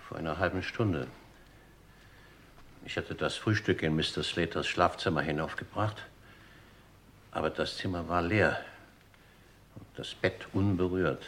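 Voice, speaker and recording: An actor playing a gaunt, older English manservant in a radio crime drama, formal, precise and deferential. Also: male voice, low voice, measured pace, pauses often, a bit bright. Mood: subdued, formal. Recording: old radio recording, noisy background.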